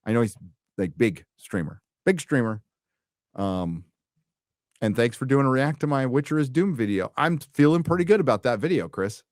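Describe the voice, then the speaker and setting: A man's voice: mid-range voice, lively intonation, normal speed, a little mellow, dry recording, studio quality. A middle-aged man hosting a casual live show, laid-back and swearing freely, reading donations and laughing often as he talks. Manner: laughs often mid-sentence. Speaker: podcast host. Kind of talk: casual live-stream chat.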